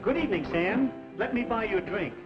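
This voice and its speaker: An actor playing a meek, unctuous frontier undertaker who talks in pious, flowery, ingratiating phrases in an old western. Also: male voice, high voice, expressive, brisk, over loud music. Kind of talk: old western screen drama acting. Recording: dull old film soundtrack.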